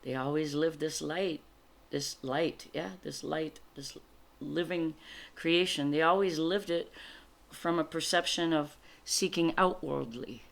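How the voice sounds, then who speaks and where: A woman with a fairly low voice, a native French speaker, talks through a spiritual card reading. She moves between reading aloud and informal commentary, reflecting as she goes in short, halting phrases. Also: steady tone, gravelly.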